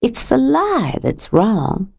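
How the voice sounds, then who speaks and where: A woman voicing a steady, plainspoken woman who talks calmly and firmly in an audiobook. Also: fairly high pitch, slow pace, gravelly, husky, voice pushed up, heavily acted. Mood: earnest. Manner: calm and firm, gently blunt. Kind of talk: audiobook character reading.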